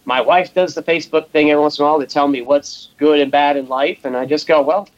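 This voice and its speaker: Interview guest: chill, rambling man from Massachusetts, a bit high-pitched, dry muffled sound. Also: fairly even intonation, quick pace, no room sound. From Massachusetts, USA. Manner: laid-back, rambling, many fillers. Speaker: guest, race shop welder. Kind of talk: casual storytelling interview.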